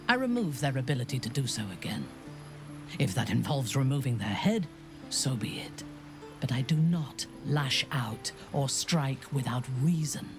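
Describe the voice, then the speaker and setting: Game role: ruthless drow commander, cold menace, swooping intonation, music underneath. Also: female voice, a bass voice, exaggerated voice. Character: cold, ruthless female drow warrior, imperious. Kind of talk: video game voice acting.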